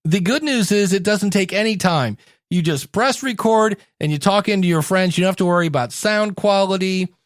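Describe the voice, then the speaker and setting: A man with a fairly high-pitched voice talks as a podcast host and coach. He is conversational and animated, slipping into quoted asides to illustrate his advice. The recording is close and dry, with little room sound. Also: normal speed, no room sound. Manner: animated, acts out quotes to make points.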